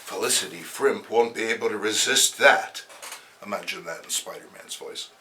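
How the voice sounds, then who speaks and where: A man's voice: lowish voice, moderate pace, bright, forceful tone, recorded from a distance, a little hiss or hum. A man hosting a comic-book reading, mixing theatrical narration with casual asides. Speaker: host reading a comic aloud.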